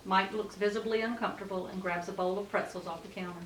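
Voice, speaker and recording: A woman's voice: medium pitch, fairly even intonation, quick pace, fairly bright tone. A woman reading out stage directions as the narrator of a scripted table read. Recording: echoey room, distant mic, faint noise.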